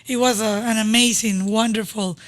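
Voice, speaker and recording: Venezuelan woman guest, halting English. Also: a little high, fairly even intonation. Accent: Venezuelan Spanish. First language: Spanish. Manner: warm, halting, with hesitations and non-native grammar. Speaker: guest, real estate agent. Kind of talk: casual interview. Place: podcast studio.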